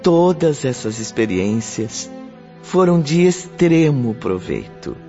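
A man's voice: mid-range voice, sing-song, slow, dark tone. A man narrating a radio drama from a script in a solemn, stately style. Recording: muffled radio play over faint music.

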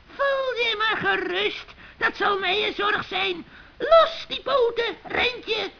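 A very high, shrill old witch for a children's radio play. She is scheming and scornful, cackling and taunting in mock-sweet tones. The old recording is dull and muffled. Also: slow pace, vocal fry, heavily acted. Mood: scolding.

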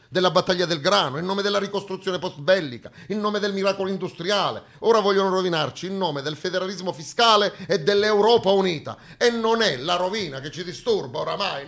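A man performing an emphatic theatrical monologue, with rhetorical flourishes and dramatic pauses. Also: high voice, a bit bright. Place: theatre stage, live performance.

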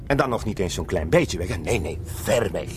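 An actor playing a cheeky, teasing teenage Flemish son in a radio drama, with a playful, mocking delivery. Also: male voice, low voice, expressive, fairly quick, slight background noise. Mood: doubtful.

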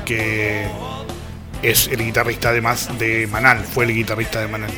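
A man's voice: lowish voice, monotone, normal speed. A man giving casual music commentary on the radio. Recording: prominent music behind the voice. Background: instrumental music.

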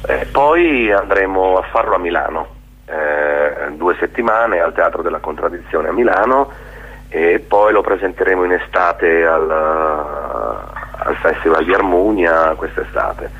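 Deep, husky man in a radio interview. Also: animated, fairly bright tone. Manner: halting and reflective, often seeking agreement with tag questions. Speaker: theatre maker being interviewed. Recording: dull, lo-fi radio interview sound.

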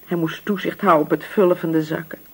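An actress playing a poor, anxious, grieving widow in a radio drama, speaking hesitantly and submissively. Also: female voice, on the low side, fairly even intonation, fast, vocal fry, husky. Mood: subdued, sad. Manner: hesitant, repeating words, submissive.

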